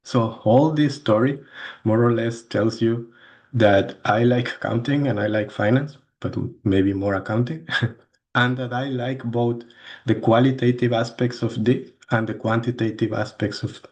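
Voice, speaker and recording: A man in his thirties from Mexico speaks English with a clear Mexican accent, his first language being Spanish. He talks through a course introduction like a lecturer chatting with students, at an average pitch. He often restarts phrases and repeats words as he goes. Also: steady tone, measured pace, darkish tone. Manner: conversational, self-correcting, repeats words. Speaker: university lecturer.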